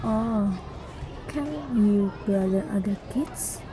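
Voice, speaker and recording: A woman's voice: mid-range voice, takes it slowly, lightly acted, low-quality recording. A young woman playing a female English schoolteacher in an amateur radio drama. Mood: questioning. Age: young adult. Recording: loud music and crowd bustle.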